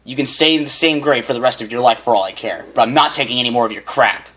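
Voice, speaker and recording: A man's voice: medium pitch, fast talker, fairly bright tone, slightly rough. A man voicing a bullied young male unicorn, defiant and taunting, in a storybook reading.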